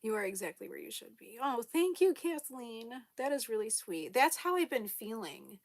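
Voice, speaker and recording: A woman's voice: fairly high pitch, big pitch swings, moderate pace. A young woman with a slight lisp talks casually to her camera at home. She rambles through viewer comments with fillers, restarts and frequent laughter. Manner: chatty, laughs often, many fillers and restarts. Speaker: vlogger reading viewer comments.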